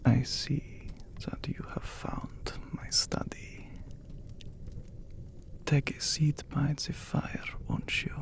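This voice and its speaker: A man playing an ancient, courteous, brooding vampire count in an ASMR roleplay, speaking in a breathy, gravelly hush with formal phrasing and long pauses. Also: fairly high pitch, flat delivery. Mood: courteous, gracious. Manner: slow, formal, hushed, with long dramatic pauses. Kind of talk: ASMR romantic roleplay, scripted character acting.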